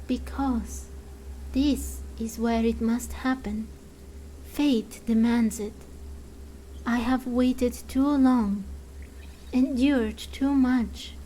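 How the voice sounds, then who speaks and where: Woman as a slow, solemn, cryptic oracle. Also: a little high, warm tone, slight background noise, rough recording. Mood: solemn fervour. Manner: slow, portentous, drawn-out pronouncements. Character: solemn cryptic female oracle, serene and fanatical.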